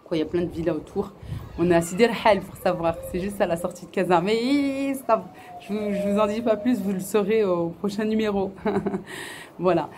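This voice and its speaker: Soft-toned French woman vlogging. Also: mid-range voice, normal speed, slight background noise. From France. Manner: earnest, repeats herself, many fillers and restarts. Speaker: family vlogger. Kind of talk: casual talk to camera, answering viewers. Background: faint outdoor ambience.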